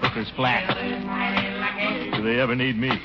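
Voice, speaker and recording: An actor playing a pompous, scheming middle-aged town commissioner in a blustery 1940s radio comedy style. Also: male voice, mid-range voice, little pitch movement, normal speed, over loud music, close to an everyday voice. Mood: self-satisfied. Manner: blustery, swooping delivery with chuckles. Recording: muffled, noisy 1940s radio recording.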